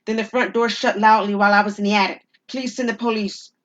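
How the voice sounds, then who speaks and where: A woman's voice: medium pitch, steady tone, quick pace, muffled recording, natural voice. A woman performing an urgent, flustered husband spooked by an intruder in an audio drama. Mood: rattled, insistent.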